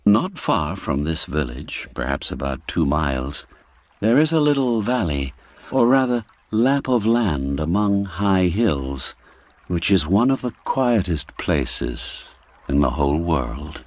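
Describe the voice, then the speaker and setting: A man narrating an audiobook story in a measured, deliberate way with dramatic pauses. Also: deep voice, very animated, dry recording.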